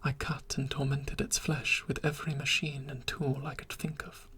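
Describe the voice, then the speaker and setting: A narrator reading a dark story in a soft, steady whisper. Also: medium pitch, moderate pace. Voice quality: very breathy.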